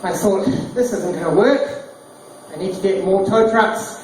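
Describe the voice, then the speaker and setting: Deadpan kiwi comic in an echoey bar. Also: male voice, high-pitched, normal speed. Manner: deadpan pun delivery. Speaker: stand-up comedian. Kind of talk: stand-up one-liners. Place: small bar stage, roomy and echoey. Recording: echoey distant bar recording, noisy. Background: small crowd laughing, bar noise.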